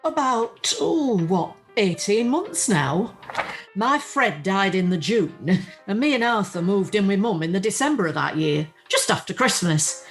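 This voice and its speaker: A woman's voice: mid-range voice, close to an everyday voice. A woman with a Northern English accent playing a middle-aged, blunt, weary widow who cares for her mother in a radio play. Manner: blunt, colloquial, grumbling. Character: chatty, worn-out, down-to-earth widow caring for her mother. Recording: video call recording with music.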